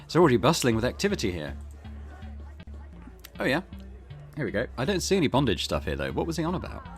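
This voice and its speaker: A man's voice: mid-range voice, huge pitch swings, fast talker. A man hosting a let's play, giving casual, wry commentary and reading game narration aloud. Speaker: gaming video host. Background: game music.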